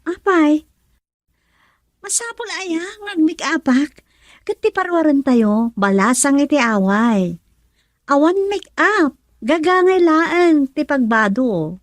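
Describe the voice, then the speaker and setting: A woman playing a playful, tender young country woman in love in an Ilocano radio drama, expressive and teasing with an Ilocano accent. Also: high-pitched, slow, no echo.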